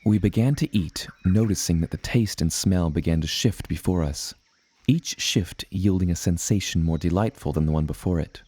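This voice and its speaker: An actor playing a reflective seafaring adventurer, narrating his tale in deliberate storybook phrasing for an audio drama. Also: sits low, dark tone, husky, no room sound. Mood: reflective, wondering. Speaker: first-person narrator.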